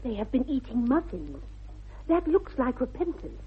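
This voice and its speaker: A woman's voice: high voice, very animated, moderate pace. An actress playing a prim, innocent young country girl with an upper-class English accent, in 1960s radio comedy style. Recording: noisy, muffled narrow-band vintage radio recording.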